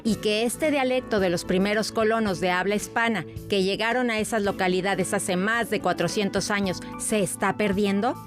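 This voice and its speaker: A woman reporter narrating a scripted news story in a steady, measured way. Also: mid-range voice. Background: music.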